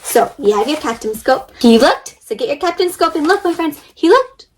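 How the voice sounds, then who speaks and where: A woman hosting an interactive read-aloud for children, expressive and encouraging, putting questions and simple instructions straight to her young viewers. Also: sits high, normal speed, a little hiss or hum.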